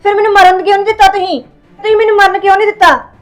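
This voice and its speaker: A woman plays a young sister in a family drama who schemes and then wails and pleads. Her voice sits high. Faint music plays underneath. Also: a little fast, mellow, natural voice. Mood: wailing. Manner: wailing, pleading. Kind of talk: Punjabi family drama acting.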